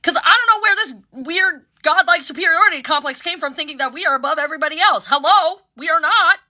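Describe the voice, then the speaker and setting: High, piercingly bright woman ranting. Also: fast talker. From Korean background. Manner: emphatic, exasperated, repetitive. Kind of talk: impassioned direct-to-camera rant.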